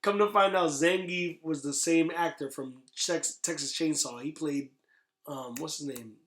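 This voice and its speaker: Male podcaster, slightly high and very bright voice, blunt slangy delivery, casual chat. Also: measured pace, echoey room. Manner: blunt, slangy, emphatic, swearing. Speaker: podcast host. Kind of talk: casual podcast chat about video game movies.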